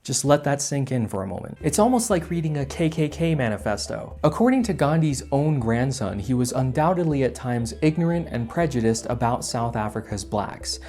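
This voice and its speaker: A man's voice: mid-range voice, very animated, fairly quick, hardly any pauses, warm tone. A man narrating a scripted video essay with sarcastic, wry asides.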